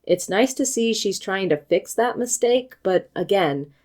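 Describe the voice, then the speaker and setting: An American woman reading a scripted book review video essay in a measured, articulate and critical manner. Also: medium pitch, expressive, darkish tone. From United States.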